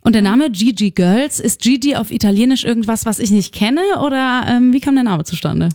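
A woman's voice: a little high, expressive, a little fast, a little mellow, no echo, studio quality. A woman from Cologne hosting a music interview on the radio. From Cologne, Germany. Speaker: radio host. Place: radio studio. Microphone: close studio microphone.

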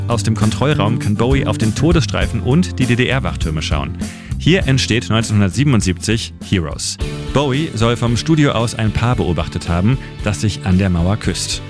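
A man with a fairly low voice narrates a scripted radio feature like a storyteller. A clear guitar music bed plays under his close studio voice. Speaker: radio editor and city guide presenting a history segment.

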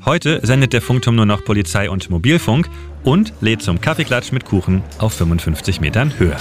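A man narrating a scripted radio history feature in a steady, measured way. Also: on the low side, faint music behind. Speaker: radio editor and city guide.

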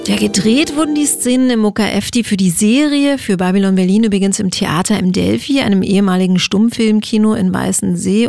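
A woman's voice: mid-range voice, gravelly, a little mellow, no echo, clean studio sound. A woman radio host presenting in a chatty, informal way and speaking straight to her listeners.

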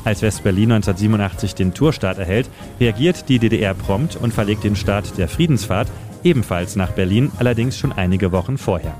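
A man's voice: lowish voice, a little fast, darkish tone. A man reading a scripted short history feature for radio as its narrator. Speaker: radio editor and city guide narrating a feature. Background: music bed under the voice.

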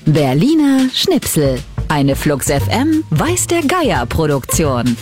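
A woman speaks station jingles very slowly, swooping widely up and down in pitch. Her voice sits a bit low. Loud, clear jingle music runs under every word. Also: wildly swooping pitch.

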